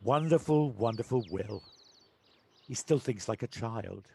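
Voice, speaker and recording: A man's voice: medium pitch, animated, a little slow, gravelly, dark tone. A man playing a wise, affectionate village handyman in an audio play, plain-spoken and reassuring. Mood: fond. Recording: amateur home recording with background noise.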